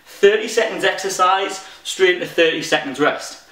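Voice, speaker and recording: Geordie man, fitness coach, higher voice, echoey front room, mic from far off. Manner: talks fast when excited, restarts sentences. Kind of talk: home workout instruction.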